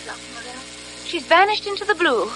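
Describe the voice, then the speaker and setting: An actress playing an eager, chatty British secretary in an old-fashioned radio drama, breezy and talkative. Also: female voice, sits high, big pitch swings, fairly quick, music in the background, low-quality recording. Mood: flustered, breezy. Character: eager, chatty, helpful young female secretary to a doctor.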